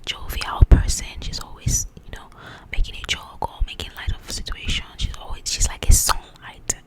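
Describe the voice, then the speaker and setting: A woman whispering in an ASMR style as she casually chats about a book in a rambling, animated, informal way. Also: moderate pace, low-quality recording. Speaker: ASMR creator reviewing a book.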